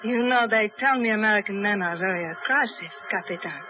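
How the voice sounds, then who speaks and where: A woman playing a coy, soft-spoken doctor's assistant. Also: medium pitch, brisk, over music, lightly acted. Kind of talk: 1950s radio drama acting. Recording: tinny old radio broadcast recording.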